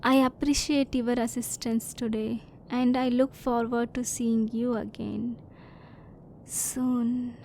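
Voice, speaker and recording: A woman playing a curious, reserved alien scientist in an ASMR roleplay, speaking formally and precisely with measured restraint. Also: on the high side, little pitch movement, a little mellow, slight background noise. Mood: quietly hopeful.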